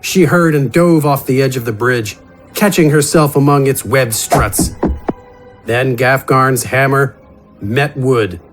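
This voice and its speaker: A man's voice: mid-range voice, slow, poor audio. A man reading scripted fantasy adventure narration in a dramatic storytelling style. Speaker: narrator and voice actor. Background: music.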